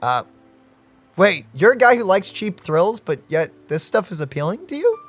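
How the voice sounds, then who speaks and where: A woman's voice: lowish voice, big pitch swings, moderate pace, faint music behind. A woman giving casual live reaction commentary as she streams a game.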